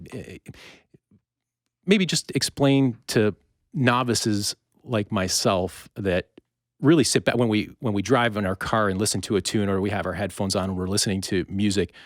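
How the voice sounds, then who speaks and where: A man hosting a podcast in a conversational interview style, speaking haltingly and searching for his words. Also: lowish voice, dry recording, clean studio sound. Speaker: podcast host.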